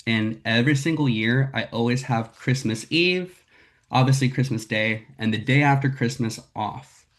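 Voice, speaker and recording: A young man from Northern California, raspy from a cold, talking candidly to his team over a video call with lots of "um" and "like". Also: medium pitch, moderate pace. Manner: candid, filler-heavy, says "like" and "um" a lot. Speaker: sales rep presenting to a team. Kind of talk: casual talk on a video call.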